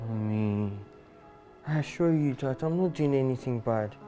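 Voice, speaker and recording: A young Nigerian man as a grown son in a film drama played by an actor. His voice is mellow and soft-edged, and he is evasive, defensive and pleading. There is light music behind him. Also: medium pitch, moderate pace, lightly acted. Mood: placating. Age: young adult. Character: evasive, placating grown son under family pressure.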